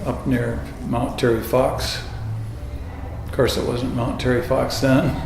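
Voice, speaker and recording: A man's voice: medium pitch, little pitch movement, slow pace, creaky, background noise. A man from Canada answering interview questions with dry humor. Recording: echoey with faint ambience.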